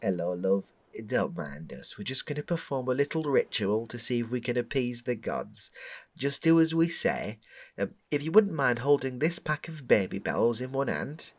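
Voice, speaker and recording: A man's voice: mid-range voice, big pitch swings, moderate pace. A man voicing a kindly, colloquial older supermarket worker with grandchildren in a comic audio roleplay. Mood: friendly, matter-of-fact.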